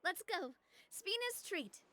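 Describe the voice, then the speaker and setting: A woman's voice: very high-pitched, sing-song, takes it slowly, pushed and bright, dry recording, heavily acted. An actress playing a cheerful, outgoing young woman in a video game, perky and breezy. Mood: cheerful, rallying. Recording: music behind the voice.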